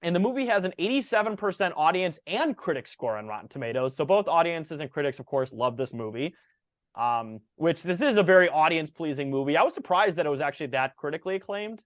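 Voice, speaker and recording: A man's voice: a little high, fast, no echo. Chatty man co-hosting a film podcast. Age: 30s. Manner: relaxed, filler-heavy, reads facts aloud. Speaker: podcast co-host. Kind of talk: casual movie review chat.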